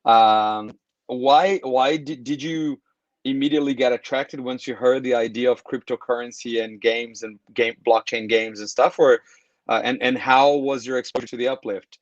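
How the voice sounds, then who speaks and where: A man's voice: mid-range voice, steady tone, normal speed, no room sound, lo-fi sound. A man from Brazil hosting a casual video call interview, talking in a friendly, rambling way with restarts. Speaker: podcast host.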